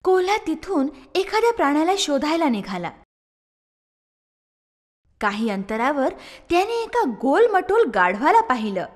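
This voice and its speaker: A woman narrating a children's moral story in an expressive, sing-song storytelling manner. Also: high-pitched, measured pace, long pauses. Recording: long reverb.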